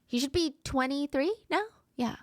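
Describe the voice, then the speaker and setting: A woman's voice: high-pitched, normal speed, dry recording. A woman with a cold, sounding stuffy and nasal, telling a true crime story conversationally and asking her viewers questions. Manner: conversational, asks the audience questions.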